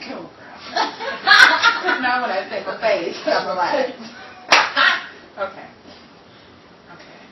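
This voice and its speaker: A woman chatting casually as she leads a voice acting rehearsal, giggly and excitable. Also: mid-range voice, takes it slowly, pauses often. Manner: giggly, excitable, often breaking into laughter. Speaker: rehearsal leader and voice actor. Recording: echoey, distant, muffled, noisy room recording.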